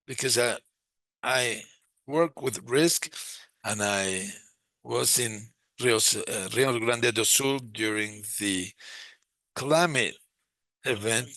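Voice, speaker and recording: A man giving a live interpretation of a webinar discussion, speaking in halting, phrase-by-phrase chunks. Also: mid-range voice, sharp tone, no echo. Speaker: simultaneous interpreter.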